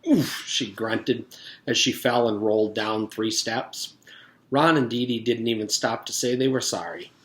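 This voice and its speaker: A man reading a children's book aloud as a teacher would for pupils, with expressive storytelling. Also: fairly low pitch, a little slow. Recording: echoey, reverberant room.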